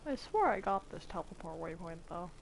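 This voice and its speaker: A woman's voice: fairly low pitch, very animated, normal speed, creaky, very noisy. A woman giving casual live commentary as she streams a video game. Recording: game music behind the voice. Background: video game music.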